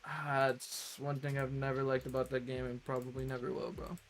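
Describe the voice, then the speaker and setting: A man's voice: mid-range voice, little pitch movement, a little fast, a little hiss or hum, poor audio. A man doing live gaming commentary as a streamer, blunt and slangy, cursing casually and grumbling. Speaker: game streamer.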